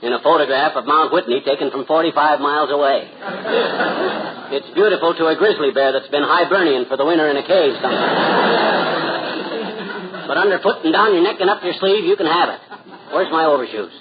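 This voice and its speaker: A man plays a blustering, stubborn husband in a vintage radio comedy. His voice sits fairly high and he grumbles in folksy exaggerations. The sound is dull, with clear background noise. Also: brisk, pauses often, natural voice. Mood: grouchy, sarcastic. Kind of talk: 1940s radio comedy acting. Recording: muffled, noisy 1940s radio recording.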